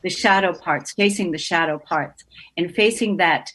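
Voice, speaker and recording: A woman's voice: on the low side, moderate pace, fairly bright tone, low-quality recording. A woman guest-hosting a spiritual talk radio show, speaking in a reflective, earnest way with frequent ums, over a remote call. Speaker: guest host.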